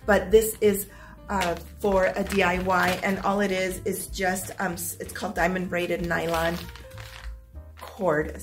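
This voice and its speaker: A middle-aged woman filming a casual unboxing vlog at home, upbeat and chatty, her voice slightly hoarse as if she is losing it. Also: lowish voice. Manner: chatty and upbeat, clears her throat now and then. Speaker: vlogger. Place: small home room with stacked boxes, indoors.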